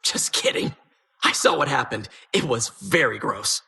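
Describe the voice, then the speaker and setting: A bright, sinister, swooping dungeon host. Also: female voice, rumbling low, sing-song, a little slow, vocal fry, full character voice. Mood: dry, sardonic. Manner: mock-cheerful, sardonic, teasing, theatrical. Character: gleefully sinister, playful disembodied female dungeon host. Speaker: game announcer. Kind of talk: theatrical video game voice lines.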